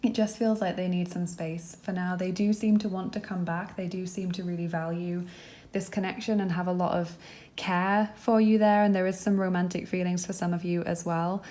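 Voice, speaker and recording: A young woman gives a tarot reading at an indoor table, her throat croaky and dry. Her voice is mellow and a little low, held in a flat, even line. She talks softly and reassuringly, with plenty of filler words. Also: normal speed. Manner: soft, reassuring, many fillers. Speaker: tarot reader. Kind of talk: pick-a-card tarot reading to camera.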